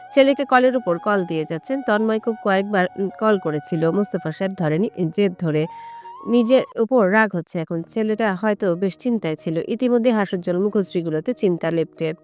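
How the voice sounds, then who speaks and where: A woman's voice: mid-range voice, a little mellow. A woman reading a scripted story as a dramatic narrator. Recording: music bed under the voice. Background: background music.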